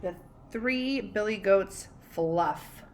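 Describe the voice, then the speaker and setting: A woman teacher reading a storybook aloud to children in an expressive, conversational way, pausing to prompt them with questions. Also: mid-range voice, slight background noise.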